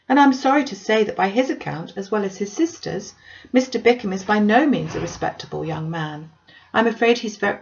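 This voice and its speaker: A woman voicing a gentle, earnest young gentlewoman in an audiobook, polite and measured. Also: medium pitch, gravelly, muffled recording. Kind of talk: audiobook character dialogue.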